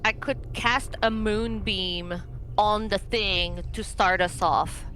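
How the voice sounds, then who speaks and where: Bright-voiced woman, unhurried tabletop player. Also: mid-range voice, faint music behind. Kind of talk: casual group game planning.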